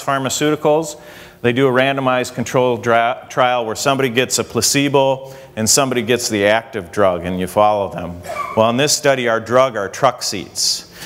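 A man's voice: mid-range voice, fairly bright tone. A young man, an engineer, explaining a research study at a conference while walking around a hotel meeting room. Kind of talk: conference presentation. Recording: reverberant conference hall.

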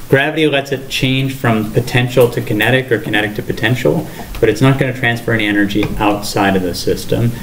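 A young man teaching a physics lesson at a whiteboard, questioning students as he gestures and explains. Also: mid-range voice. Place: classroom with whiteboard, indoors. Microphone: far camera across the room. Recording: echoey classroom, faint noise.

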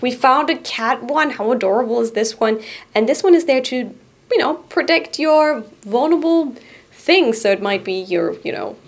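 Bubbly young woman with gravelly fry. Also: a little high, moderate pace. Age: young adult. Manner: bubbly and giggly, drops to a soft relaxed tone. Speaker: host presenting shop items. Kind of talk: casual product review to camera. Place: small indoor studio room. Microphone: boom-arm studio mic in front.

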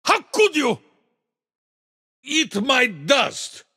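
A man voicing a big, blustery alien mad scientist in a racing video game, with a Russian accent and boastful, theatrical exclamations in a gravelly rasp. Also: extremely high pitch, wildly swooping pitch, very slow, pushed and bright, full character voice. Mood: indignant, excited. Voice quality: gravelly, raspy. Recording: long reverb tail.

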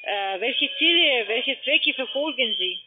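High-voiced non-native woman on phone line. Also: lively intonation, measured pace, husky. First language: not German. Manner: formal, polite. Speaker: telephone investment sales agent. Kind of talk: scripted phone sales pitch. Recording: muffled narrow phone line.

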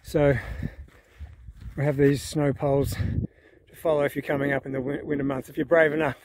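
A man, talking to his camera in a relaxed, awed way as he hikes an open alpine trail, filmed at arm's length. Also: a little high, moderate pace, slight background noise. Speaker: hiker vlogger. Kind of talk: casual on-the-go vlog narration. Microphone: phone camera held at arm's length.

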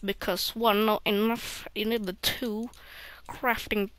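A woman's voice: medium pitch, little pitch movement, takes it slowly, no echo, close to an everyday voice. A woman commenting on a game while putting on a Chinese accent with broken-English phrasing. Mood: matter-of-fact. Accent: put-on Chinese. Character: chatty cook in a mock Chinese accent. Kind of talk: gameplay commentary.

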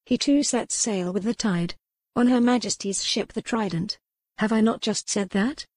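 A woman's voice: medium pitch, moderate pace, dry recording, natural voice. A woman voicing an imperious, headstrong young noblewoman in an audiobook, formal and insistent. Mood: exasperated. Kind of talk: audiobook character dialogue.

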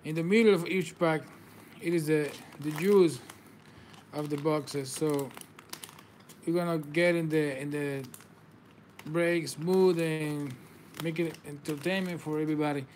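A man's voice: on the high side, fairly even intonation, a little slow, long pauses, a little mellow, slight background noise. A man, whose first language is Spanish, hosts a live trading card break, calling out players and congratulating viewers in slightly non-native phrasing. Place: indoor table with card boxes.

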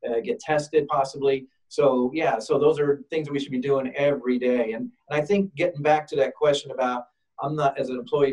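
A man's voice: mid-range voice, darkish tone. A man on a video call explaining food safety cleaning and employee illness policies. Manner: practical, reassuring. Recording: distant from the mic.

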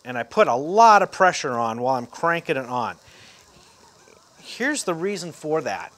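Relaxed folksy man demonstrating fishing gear. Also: fairly high pitch, moderate pace. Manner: relaxed, folksy, with fillers and restarts. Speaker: fishing instructor. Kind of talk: casual how-to demonstration. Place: indoor room, seated in a chair.

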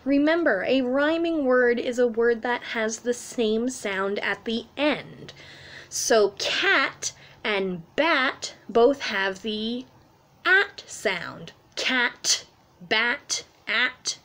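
A woman in her thirties teaches young children in a high voice. She takes her time, explaining simply and with animation, as in a sing-along class recorded in a room at home. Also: animated, drawn-out words, a bit bright. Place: small room at home, indoors.

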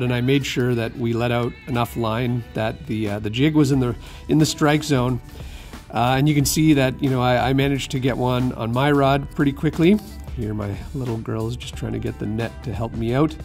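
Man, 30s, charter captain; easygoing rambling voice-over, average pitch. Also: a little mellow. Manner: easygoing, rambling with many fillers and restarts. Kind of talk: voice-over tips narration.

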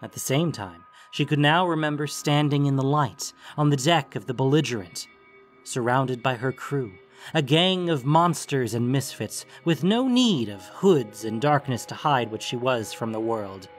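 A man's voice: mid-range voice, animated, unhurried, soft background music. A man narrating a scripted fantasy story as its narrator.

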